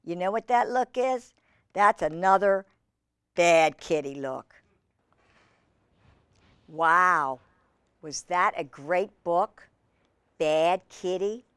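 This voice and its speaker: A woman hosting a children's storytime, reading aloud with drawn-out emphasis and chatting in asides to young listeners. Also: on the low side, expressive, fairly bright tone, clear, resonant tone, no echo, studio quality. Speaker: host reading picture books to children.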